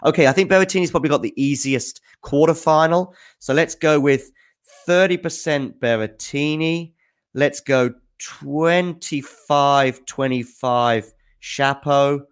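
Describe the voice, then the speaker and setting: A man's voice: fairly high pitch, measured pace, clear, resonant tone. A man hosting a tennis news show, answering viewer questions in casual, off-the-cuff spoken commentary, thinking aloud with enthusiasm.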